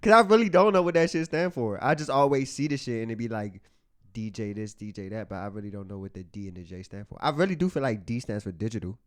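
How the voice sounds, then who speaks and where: A man's voice: fairly low pitch, fast talker, clear, resonant tone. A young man hosting a podcast, chatting casually and teasing his guest with lots of slang. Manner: teasing, laughs a lot, slangy. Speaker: podcast host. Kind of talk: casual chat, interviewing.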